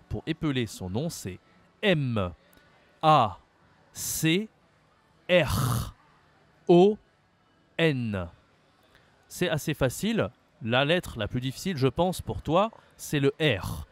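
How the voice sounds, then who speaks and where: A man from France gives a French lesson in a slow, deliberate way. His pitch moves a lot as he stresses words. He repeats each word patiently and spells names out letter by letter. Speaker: French teacher. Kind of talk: slow, carefully articulated language lesson.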